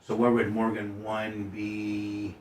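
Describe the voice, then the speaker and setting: A man's voice: on the low side, completely flat delivery, takes it slowly, distant mic. A man talking casually and off the cuff as a radio host, with a blunt manner. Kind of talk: casual off-the-cuff studio chat. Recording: roomy, off-mic, faint noise and clicks.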